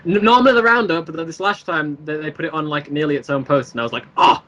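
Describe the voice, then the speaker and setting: A man speaking as a guest creator in a casual, jokey interview, animated and rambling as he explains, with mock-showman asides. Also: a little high, fast. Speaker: interview guest, creator of a fan web series.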